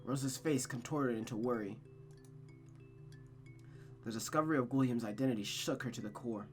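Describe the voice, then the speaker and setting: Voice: mid-range voice, moderate pace, darkish tone, soft background music. A host reading a visual novel aloud in dramatic narration, stumbling and rereading phrases, with casual asides.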